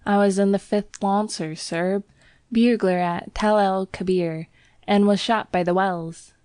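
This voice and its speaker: A woman's voice: medium pitch, steady tone, unhurried, a little mellow, clean tone, no echo, studio quality, voice pushed up, natural voice. A woman voicing a young Cockney bellboy, a former soldier, who drops his h's and keeps saying sir. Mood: uneasy.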